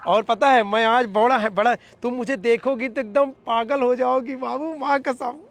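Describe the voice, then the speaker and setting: Man playing an eager, high-voiced young bridegroom. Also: fairly even intonation, normal speed, a bit bright. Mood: besotted. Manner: hesitant, repetitive, coaxing. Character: eager, sweet-talking, insecure young bridegroom. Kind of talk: comedy phone-call skit in casual chat.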